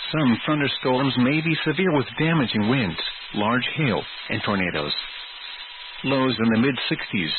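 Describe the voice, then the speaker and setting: A man announcing a scripted weather alert bulletin over the radio in a measured, formal, synthetic-sounding delivery. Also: medium pitch. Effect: radio transmission. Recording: tinny, noisy weather radio broadcast.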